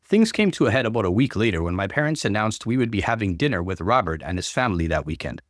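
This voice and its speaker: A man narrates a personal story as a scripted dramatic reading. His pitch swings widely with expressive delivery, and the sound is dry and free of echo. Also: quick pace. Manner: expressive, acts out dialogue.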